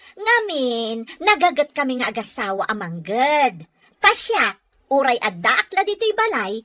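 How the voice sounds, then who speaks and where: A haughty housewife with an Ilocano accent, in radio comedy style. She is high and sharp in tone, with lively swings of pitch. Her manner is curt, scornful and full of boasting. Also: full character voice.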